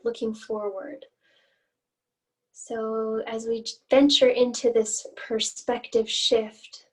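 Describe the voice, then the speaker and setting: Female meditation guide, higher-pitched and very unhurried, gently inviting, heard a little way off the mic. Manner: gentle invitational phrasing with long pauses. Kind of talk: guided meditation, slow and instructional. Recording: voice distant from the mic.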